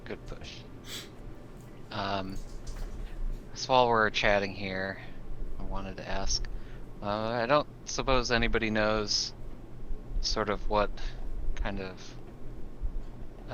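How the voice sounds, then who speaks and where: A man's voice: on the low side, flat delivery, takes it slowly, long pauses, pushed and bright, lo-fi sound, slight background noise. A man playing a tabletop roleplaying game, talking casually and hesitantly as he thinks aloud.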